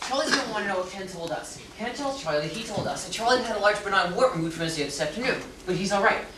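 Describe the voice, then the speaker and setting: A woman's voice: mid-range voice, lively intonation, quick pace, natural voice. A woman playing a flustered, earnest man in a stage farce, talking insistently and with exasperation. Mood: scheming, insistent. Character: flustered, earnest man scrambling to manage a crisis. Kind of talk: theatrical stage farce acting. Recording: very echoey distant stage, noisy.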